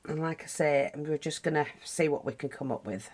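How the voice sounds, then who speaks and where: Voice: medium pitch, a little fast, a little mellow. A craft teacher with a British accent, nose bunged up, chatting casually through a tutorial with frequent asides. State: blocked nose.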